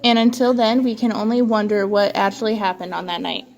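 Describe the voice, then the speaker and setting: A woman's voice: mid-range voice, a little fast, darkish tone. Woman co-presenting a scripted news report.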